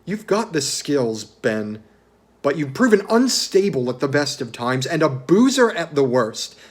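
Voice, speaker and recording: A man's voice: on the high side, big pitch swings, a little slow, slightly rough, heavily acted. Man acting a stern, haughty site boss. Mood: scornful. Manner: formal, scolding, haughty. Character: stern, contemptuous male building-site boss. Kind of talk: musical table read, dramatic stage acting.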